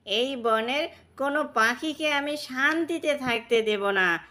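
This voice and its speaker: Cartoon villain crow played by a woman, male, vengeful and scheming, bright piercing voice, slow menacing delivery. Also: sits high. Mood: gloating, scheming. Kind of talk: cartoon character dialogue.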